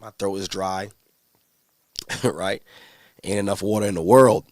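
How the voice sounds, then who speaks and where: A man's voice: low-pitched, slow, no echo. A man teaching scripture in a casual livestream, answering viewers' questions in a conversational, slangy way with many restarts.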